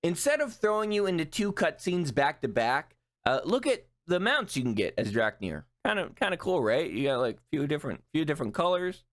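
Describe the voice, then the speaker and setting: A man's voice: fairly high pitch, sing-song, brisk, hardly any pauses, fairly bright tone, soft background music. A young man giving casual, offhand commentary over gameplay, joking and cursing freely. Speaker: gaming YouTuber.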